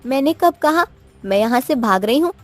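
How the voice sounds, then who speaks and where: Woman voicing a high-pitched, clever cartoon wife. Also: animated, moderate pace. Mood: defiant, taunting. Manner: taunting, coaxing. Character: clever, scheming, bold young wife. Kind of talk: cartoon moral story acting. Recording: music behind the voice.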